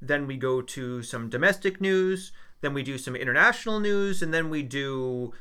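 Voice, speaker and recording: Chatty man, bright voice. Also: medium pitch, animated, moderate pace, clear, resonant tone. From United States. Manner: chatty, rambling with fillers and restarts. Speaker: podcast co-host, history teacher. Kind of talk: casual podcast chat.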